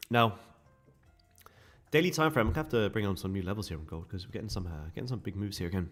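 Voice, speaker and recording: A man with a sore, croaky throat, casually walking viewers through live trading charts. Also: lowish voice, quick pace, fairly bright tone, faint music behind. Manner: casual, chatty explaining to viewers. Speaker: day trading streamer.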